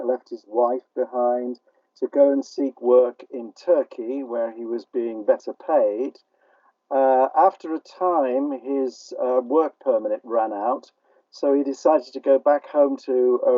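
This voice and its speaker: A man's voice: medium pitch, dry recording. An older man with a British accent answering interview questions conversationally as a playwright, a little hesitant, over a remote call line. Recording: dull, band-limited call line.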